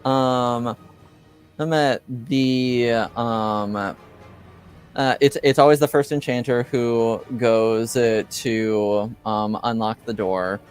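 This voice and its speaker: Guy game master, slow halting narration, searching for words, faint music behind. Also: mid-range voice, fairly even intonation, smooth.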